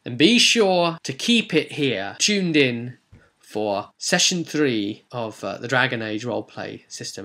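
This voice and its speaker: A man's voice: medium pitch, a bit bright. Man recapping a tabletop game, rambling and theatrical. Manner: rambling, self-correcting, with theatrical rhetorical questions. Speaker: game master and video host. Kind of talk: informal recap of a tabletop role-playing session.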